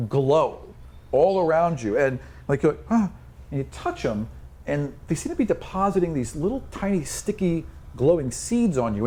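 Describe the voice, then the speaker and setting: A man's voice: on the high side, a little mellow. A man improvising narration as game master in a tabletop fantasy game.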